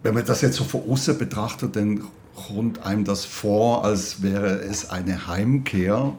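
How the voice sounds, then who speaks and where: A man's voice: fairly low pitch, measured pace, darkish tone, slight background noise. A man from Basel in Switzerland speaking as an interviewer, informally and conversationally.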